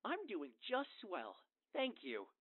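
A woman's voice: lowish voice, huge pitch swings, takes it slowly, full character voice. A woman voicing a creepy animatronic puppet companion with a tinny, cheerful, singsong delivery in muffled game audio. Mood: chipper. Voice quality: tinny lo-fi recorded voice. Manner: singsong, cheerful canned phrases.

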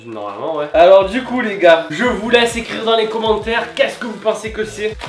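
A man's voice: high voice, lively intonation, moderate pace. Hyped young man in an echoey kitchen. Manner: hyped, joking, talks straight to viewers. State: stuffy with a cold. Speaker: YouTube host. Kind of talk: energetic unboxing vlog. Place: small bright home kitchen. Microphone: camera mic, standing a few steps back. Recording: echoey room with background music.

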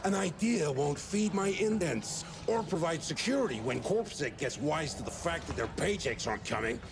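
A brash, irritable crime boss from a cyberpunk video game. His voice sits fairly high and swings widely, with a heavy gravelly creak. He is sarcastic and profane, with some ambient noise behind him. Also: expressive, normal speed. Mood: dismissive, irritated. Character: foul-mouthed, irritable male crime boss running a cyberpunk district. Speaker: gang boss. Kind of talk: video game cutscene acting.

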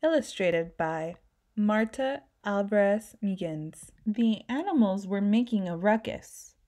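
Mellow woman reading a storybook aloud. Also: medium pitch, animated, slow. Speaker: children's book reader.

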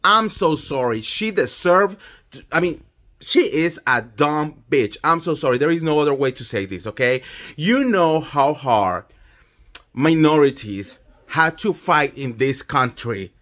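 A man's voice: on the high side, expressive, a little slow, a bit bright. A man in his thirties with a Colombian Spanish accent, ranting emphatically about reality TV into a phone at home. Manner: indignant, emphatic, swears freely. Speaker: pop culture vlogger. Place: indoors at home, small room. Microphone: phone held close at arm's length.

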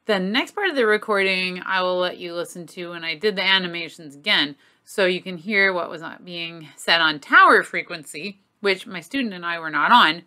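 Middle-aged female aviation instructor: forceful bright delivery, slightly low pitch, candid explainer style. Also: lively intonation, moderate pace, few pauses. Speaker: flight instructor hosting an aviation lesson. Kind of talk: informal explanatory lesson to camera. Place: small home office, indoors.

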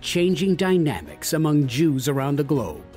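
A man reading a scripted podcast promo in a measured announcer style. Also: a little high, very animated, darkish tone, soft background music.

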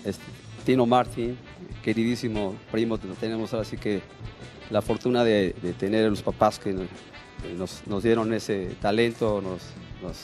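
A middle-aged man introducing his bandmates in a TV studio interview, halting and repeating words as he goes, on a handheld microphone. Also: on the low side, darkish tone. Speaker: bandleader, musician guest.

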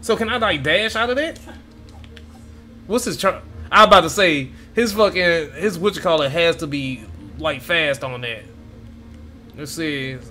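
A young man reacting to gameplay from a home room, excitable and swearing freely as he talks to his viewers. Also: on the high side, a little slow, soft background music. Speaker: gaming YouTuber. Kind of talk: casual gameplay reaction commentary. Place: home room with gaming chair.

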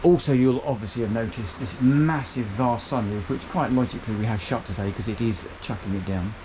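A man's voice: mid-range voice, a little fast, background noise. A man, giving an upbeat walkthrough tour of a small motor boat cabin, filmed on a handheld camera. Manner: chatty, upbeat, pointing out features as he moves. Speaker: boat reviewer.

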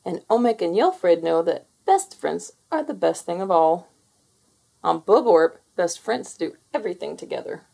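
Creaky-voiced woman reading a picture book. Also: on the low side, lively intonation, normal speed, warm tone. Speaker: children's story reader. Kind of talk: picture book read-aloud.

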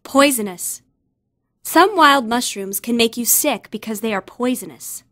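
A woman narrates educational material in a fairly high voice. She reads slowly and carefully, as for a vocabulary lesson, and the sound is dry and close. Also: lively intonation, sharp tone, no echo.

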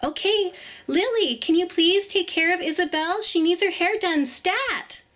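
A woman's voice: extremely high pitch, unhurried, fairly bright tone. A woman voicing a kindly ice queen who runs a beauty salon, effusive and encouraging in doll play for children. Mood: bright, bossy.

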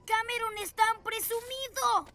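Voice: extremely high pitch, fairly even intonation, unhurried. An actor playing an eager six-year-old boy superhero in a cartoon, with a Latin American Spanish accent. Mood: earnest. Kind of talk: animated cartoon dialogue.

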